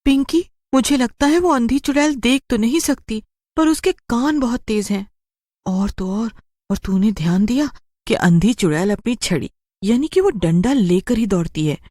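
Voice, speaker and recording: A young girl in a spooky storybook, voiced by a woman, high and childlike. She is scared yet scheming, and speaks earnestly and insistently. The recording is dry. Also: expressive, moderate pace, a little mellow, no room sound. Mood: thinking aloud.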